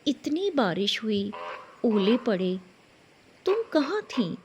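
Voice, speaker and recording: A woman's voice: fairly high pitch, sing-song, takes it slowly, background noise. A woman voicing an injured, bewildered male crow in a folk tale, pained and questioning. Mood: pained, puzzled.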